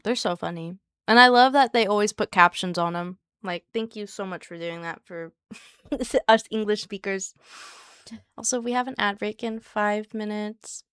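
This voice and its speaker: Woman, live streamer, soft soothing voice, chatty and giggly, smooth clear tone, dry close sound. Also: medium pitch, moderate pace, no echo. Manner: chatty and giggly, talks to chat by name. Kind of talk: casual live-stream chat with viewers.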